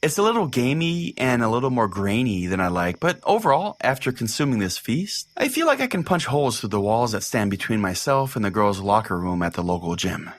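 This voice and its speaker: Folksy, animated radio pastor host, played by a man. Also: on the low side, a little fast, hardly any pauses. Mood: chatty, oblivious. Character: small-town radio pastor host, crude, bigoted, oblivious. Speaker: radio call-in show host. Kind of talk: comedic mock-radio sermon and host banter.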